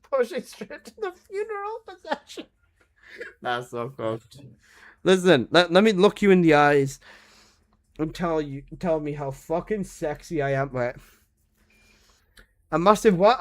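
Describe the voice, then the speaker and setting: Joking young man streaming games, fairly high. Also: very animated, unhurried, pauses often. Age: 20s. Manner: joking, swearing banter with frequent laughs. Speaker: game streamer. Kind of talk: casual live-stream chat reading chat.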